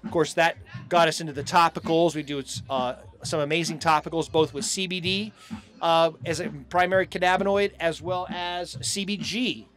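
A middle-aged American man talks as the guest in a casual outdoor interview. His voice sits a little higher than average. He speaks in stop-and-go phrases into a handheld microphone. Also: steady tone, moderate pace, fairly bright tone. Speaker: hemp farm owner being interviewed. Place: outdoors on a festival lawn.

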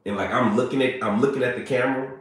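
A man, chatting playfully with viewers on a livestream, a little hoarse and congested. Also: mid-range voice, steady tone, fast talker. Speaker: livestream host. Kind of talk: casual livestream chat with viewers. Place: small bright room indoors. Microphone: phone at arm's length. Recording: very echoey room.

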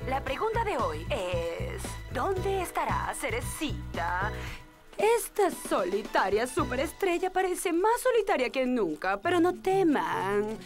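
A tiny, pompous TV gossip reporter in a cartoon. Her high voice swoops dramatically as she gushes and bosses people around. Music plays behind her. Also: sing-song, slow pace, full character voice. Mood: showy, gushing. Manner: theatrical, gushing, bossy. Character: pushy, pompous little female celebrity TV reporter. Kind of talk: cartoon dub, showy TV presenting.

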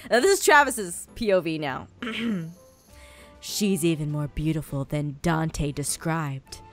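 A theatrical, excitable woman hosting and reading a story aloud amid playful banter. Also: low voice, slow pace, faint music behind. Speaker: host reading fan fiction.

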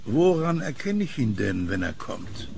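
A man's voice: medium pitch, very animated, a little slow, vocal fry, darkish tone, a little hiss or hum. A man playing an earnest, questioning kiosk keeper in a German horror radio play. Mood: puzzled. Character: puzzled kiosk keeper with keen hearing, earnest. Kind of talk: German audio horror drama acting.

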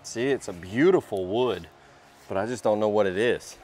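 A young man hosting a casual workshop vlog, talking in a relaxed way as he works at a sawmill under an open-sided shed. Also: lowish voice, moderate pace. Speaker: sawmill channel host.

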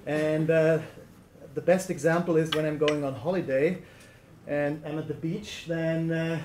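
A man's voice: a little high, fairly even intonation, unhurried, darkish tone, a little hiss or hum. A man in his thirties from Germany, speaking English with a German accent, giving a chatty conference talk with fillers and laughs. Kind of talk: presentation talk. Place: lecture room. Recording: echoey lecture room.

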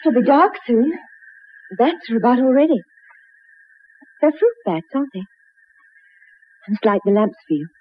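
An actress playing a fretful, gentle wife in a 1960s radio drama, earnest and anxious. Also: female voice, on the high side, sing-song, unhurried, pauses often, slight background noise. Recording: muffled, lo-fi old radio recording.

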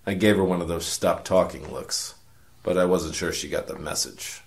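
A man playing a cocky, wry investigator, narrating his case in deadpan noir parody for a radio play. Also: low voice, normal speed, creaky, a little hiss or hum. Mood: wry, smug. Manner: deadpan, sardonic.

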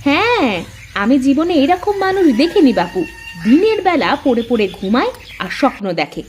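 A woman voicing a nagging, sharp-tongued village housewife in a cartoon folk tale, with rural speech and a scolding, sarcastic manner. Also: sits high, very animated, normal speed, slight background noise.

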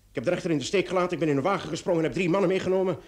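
A man playing an excitable, wry police commissioner in an old Dutch radio drama, with a theatrical delivery. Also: high voice, very fast, lightly acted. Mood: relieved, urgent.

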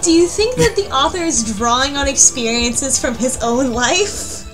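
A woman's voice: high-pitched, lively intonation, unhurried, music in the background. A woman chatting casually as a panelist on a podcast. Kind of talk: casual chat.

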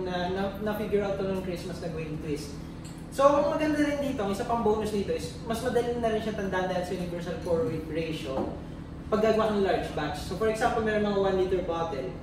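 A man's voice: sits high, fast. A man with a Filipino accent giving a casual step-by-step demonstration in mixed Tagalog and English. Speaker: drink demonstrator. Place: small tiled demo kitchen, indoors. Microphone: distant camera microphone. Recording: echoey room, distant mic, background noise.

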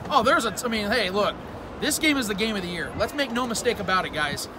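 A man giving opinionated postgame fan analysis outdoors in a stadium at night, emphatic and forceful. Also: high voice, lively intonation, quick pace. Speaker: guest podcaster. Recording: loud outdoor stadium noise.

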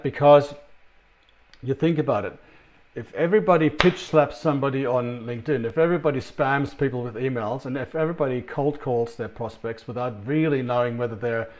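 A middle-aged man with an Australian accent presenting a recorded conference talk from his office, chatty and full of ums and restarts. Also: mid-range voice. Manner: conversational, many ums and restarts. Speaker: sales consultant presenter.

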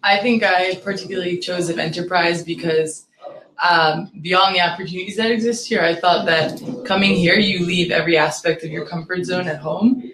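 A woman's voice: fairly low pitch, steady tone, normal speed, fairly bright tone, a little hiss or hum. A young woman from the United States, a university student intern, talking earnestly with many fillers. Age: 20s. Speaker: videography intern. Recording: echoey room, faint ambience.

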